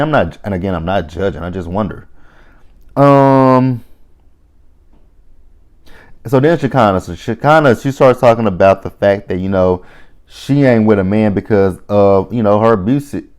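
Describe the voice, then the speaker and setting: Man, 30s, low voice; casual, opinionated TV recapper who rambles and curses. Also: a little mellow. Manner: blunt, opinionated, swears freely; rambling with frequent restarts. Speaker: reality TV reviewer.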